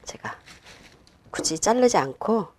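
한 중년 여성 꽃꽂이 강사가 실내 스튜디오에서 작업을 하며 설명하고, 말끝에서 자주 망설이며 혼잣말처럼 속삭이듯 말해요. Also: female voice, medium pitch, very animated, a little hiss or hum. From Korea. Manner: unhurried, talks while working, many fillers and half-whispered asides. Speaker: flower arrangement instructor. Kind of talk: demonstration lesson while working. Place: indoor studio with a flower table.

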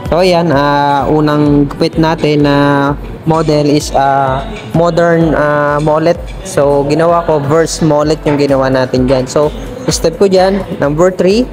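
Man, barber trainer, vlog voice-over, flat, fairly high voice, loud music under it. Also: slow pace. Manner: relaxed, many fillers.

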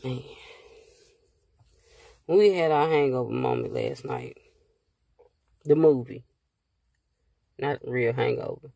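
A woman in her thirties talks into a phone held at arm's length inside a parked car. Her voice is gravelly and her delivery unhurried. She rambles in a relaxed way, with plenty of fillers. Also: extremely low pitch, no echo. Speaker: vlogger. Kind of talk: casual car chat to camera.

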